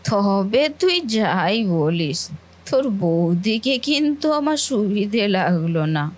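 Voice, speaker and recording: A woman's voice: medium pitch, animated. A woman voicing a scheming, nosy older aunt in a cartoon family drama, with an insinuating, gossipy manner. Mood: insinuating, suspicious. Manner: insinuating, gossipy, drawn-out questioning.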